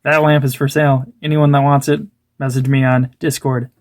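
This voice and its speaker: A man's voice: mid-range voice, little pitch movement, moderate pace, no room sound, clean studio sound. A young man chatting informally in a rambling show-and-tell vlog, often interrupting himself as he goes. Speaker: antiques dealer and video host.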